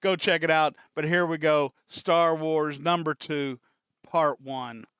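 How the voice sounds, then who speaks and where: A man with a fairly high, clear voice hosts a reaction show in a casual way. He talks informally, straight to his viewers. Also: fairly even intonation, slow pace, fairly bright tone, no room sound. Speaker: host of a reaction channel.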